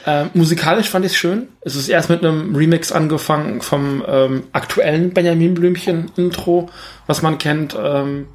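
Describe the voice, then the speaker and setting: A man's voice: fairly high pitch, normal speed. A man co-hosting a film podcast, talking casually and searching for words as he reasons aloud.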